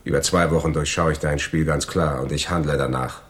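Very deep, husky, scheming crook. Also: male voice, steady tone. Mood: accusing, scornful. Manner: taunting, deliberate, menacing. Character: cold, gloating male criminal double-crossing his accomplices. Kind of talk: German radio crime drama acting.

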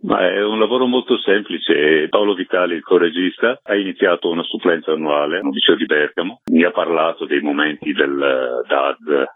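A man's voice: low voice, normal speed, hardly any pauses, dry recording. A man chatting as an interview guest, a documentary maker explaining his work in a conversational way. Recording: dry, narrow phone-like line.

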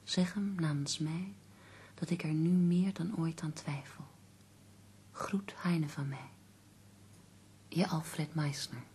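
Low, soft-voiced devoted companion. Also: female voice, steady tone, slow, long pauses, slight background noise. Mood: earnest, measured. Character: devoted, earnest female companion of an ailing poet. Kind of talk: Dutch radio play acting.

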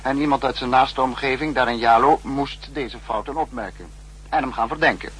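A man's voice: medium pitch, moderate pace, a bit bright, slightly rough. A man acting a stern, analytical state leader in a 1960s radio drama, speaking in a formal, lecturing manner. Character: stern, analytical spy-state leader reproaching his subordinate. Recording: noisy, humming old radio recording.

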